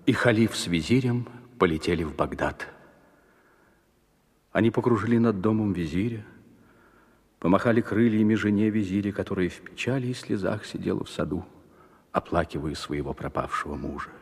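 A man narrating a scripted fairy tale as an expressive storyteller. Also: on the low side, normal speed, pauses often, husky. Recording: long hall reverb.

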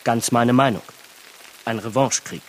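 A man's voice: mid-range voice, normal speed, slight background noise, lightly acted, poor audio. An actor in a radio drama playing a smug, talkative bourgeois businessman, pompous, sardonic and fond of lecturing. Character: smug, talkative bourgeois Berlin businessman, conservative and self-satisfied.

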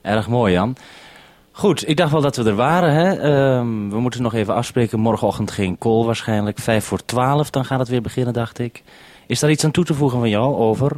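A man's voice: mid-range voice, brisk, darkish tone, dry recording. A man in a practical two-way radio exchange, speaking as a businesslike programme maker organising things.

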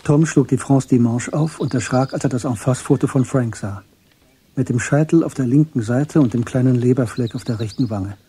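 A male radio drama narrator with a very dark and markedly husky voice. He reads in a sober, measured way at a medium pitch. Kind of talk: scripted radio play narration.

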